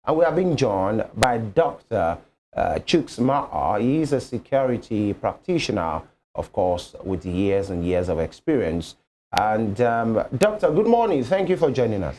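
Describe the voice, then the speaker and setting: A man's voice: on the low side, very animated, unhurried, a little mellow, slight background noise. A man hosting a live morning TV talk show, chiming in and probing with questions. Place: television studio.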